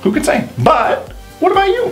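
A man in his thirties co-hosting a gaming show, chatting casually with slangy, animated opinions. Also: very high voice, normal speed. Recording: roomy echo, music underneath. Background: music.

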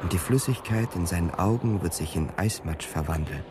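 A man's voice: sits low, fairly quick. A man reading dramatic literary narration as the narrator of a radio play. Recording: clear ambient noise behind studio narration. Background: ambient noise.

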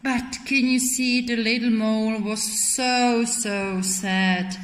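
A woman storyteller with a high voice speaks very slowly and simply, as if to small children. She guides listeners through a picture book with expressive phrasing and little questions. The room is echoey. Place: indoor children's classroom. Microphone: camera a short distance away. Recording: roomy echo, faint background noise.

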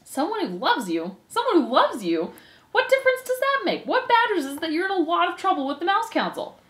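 Woman as a bossy, exasperated mouse brother, pitched up. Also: very animated, brisk, echoey room. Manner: loud, emphatic, impatient.

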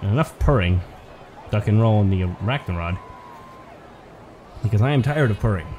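A man giving play-by-play commentary on a toy car race, building excitement at close finishes. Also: lowish voice, normal speed, background noise.

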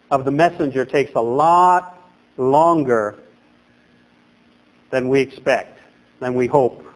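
A man in his thirties preaching a sermon from a lectern in a small chapel, animated and emphatic, recorded by a camera at a distance. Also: on the high side. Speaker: pastor.

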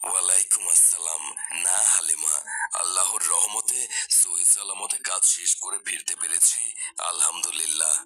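A man playing a pious, patient father who gently admonishes his daughter in a cartoon. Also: low-pitched, steady tone, normal speed, a crowd in the background, lightly acted. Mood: calm. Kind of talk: cartoon dialogue.

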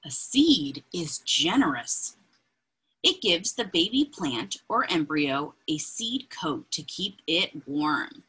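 Slow, breathy woman reading to children. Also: low-pitched, poor audio. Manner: expressive, deliberate, pointing things out as if to children. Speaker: read-aloud reader. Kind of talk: children's picture book read-aloud with informal asides. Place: indoors, small room with bookshelves.

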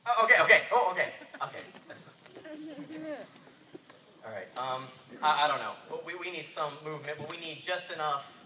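A man's voice: a little high, expressive, a little fast, pauses often, sharp tone. A man playing a bossy, exasperated director in a comedy sketch, stammering and repeating his orders impatiently. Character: bossy, excitable, exasperated male director running rehearsals. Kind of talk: improv-style sketch acting. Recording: echoey noisy room, distant mic, audience.

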